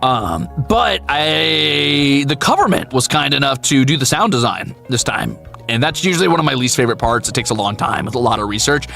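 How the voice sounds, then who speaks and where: A man streams casually over soft background music. His voice is bright and forceful with plenty of gravelly fry. He talks candidly and playfully, swearing and mocking himself as he answers viewers. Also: medium pitch, lively intonation, normal speed. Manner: candid, playful, profane, self-deprecating. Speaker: VTuber streamer and voice actor.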